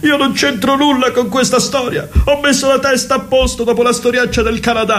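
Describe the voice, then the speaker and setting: A man's voice: very high voice, level tone, brisk, a bit bright, pitched above the performer's own voice. A man voicing a silly, whiny storybook suspect who earnestly defends himself in a comic radio play. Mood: pleading. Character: silly folk-song suspect defending himself, high-voiced.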